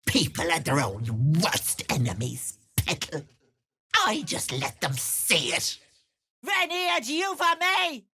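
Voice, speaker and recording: An actress voicing a wicked old hag with a raspy, cackling voice, taunting with sugary pet names. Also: female voice, on the low side, big pitch swings, slow, sharp tone, slight background noise, heavily acted. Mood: menacing, teasing. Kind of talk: video game voice acting.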